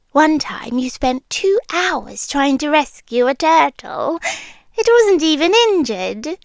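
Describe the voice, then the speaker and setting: A British woman playing an amused, possessive immortal monster queen in an intimate, hushed ASMR roleplay, teasing and often slipping into whispers. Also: high-pitched, no room sound, full character voice.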